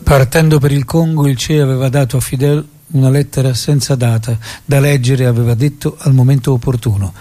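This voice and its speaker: A man's voice: medium pitch, fairly even intonation, brisk, hardly any pauses, dark tone, faint music behind. A man giving scripted dramatic narration as a narrator.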